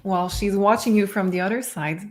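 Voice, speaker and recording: A woman's voice: mid-range voice, fairly quick, a little mellow. A woman giving live intuitive card readings to viewers in an earnest, reassuring way. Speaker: psychic card reader.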